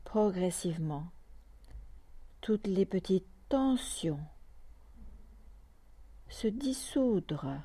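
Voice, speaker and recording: Woman, meditation guide, very slow pace, mellow soft tone, calm, short phrases with long pauses, dry recording. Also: mid-range voice, husky, no room sound. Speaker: yoga nidra meditation guide.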